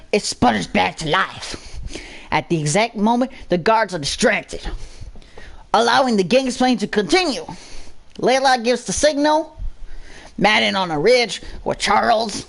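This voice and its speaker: Creaky, slow dramatic narrator. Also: mid-range voice. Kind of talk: dramatic scripted story narration.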